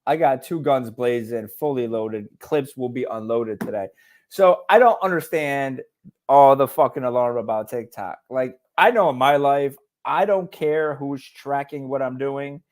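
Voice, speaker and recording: A man's voice: mid-range voice, unhurried. A man co-hosting a casual podcast, talking bluntly and with heavy swearing as he rants. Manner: blunt, profane, fired-up ranting. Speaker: podcast co-host.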